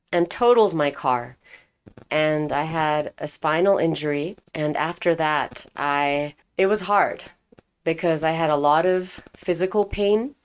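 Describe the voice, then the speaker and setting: Woman, young adult, from Europe, low voice, chatty storytelling, smooth clear tone. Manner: chatty, frequent "like", laughs while telling. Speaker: chef and actor telling her story. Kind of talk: casual personal storytelling.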